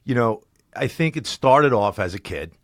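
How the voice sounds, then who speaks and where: A man with a slight cold, chatting as a podcast guest in a studio, telling animated stories and laughing often. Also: medium pitch, normal speed, no room sound. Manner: animated storyteller, laughs often, slips into voices. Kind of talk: casual interview chat.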